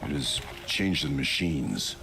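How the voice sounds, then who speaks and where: Very deep, stern warrior chieftain. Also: male voice, big pitch swings, slow, gravelly, over music, full character voice. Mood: guarded, grave. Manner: grave, formal, curt. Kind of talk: video game dialogue acting.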